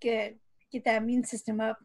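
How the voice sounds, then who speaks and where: A woman's voice: on the high side, little pitch movement, fairly quick, vocal fry, darkish tone. A woman panelist on a video call, presenting a recipe in casual, explanatory talk. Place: bedroom at home.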